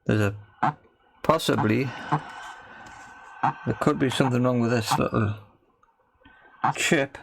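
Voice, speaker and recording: A man's voice: mid-range voice, slow pace, pauses often. A man gives casual, thinking-aloud commentary on a repair job, tentative and often questioning himself. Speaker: host of a hobby radio repair video. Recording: clear background noise with clicking.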